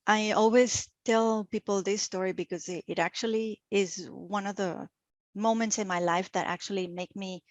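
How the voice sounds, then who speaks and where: A middle-aged woman with a Venezuelan Spanish accent tells her story in English as an interview guest. She is animated and laughs easily, and she often restarts her phrases. The recording is dry, with no room echo. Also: medium pitch, dull audio.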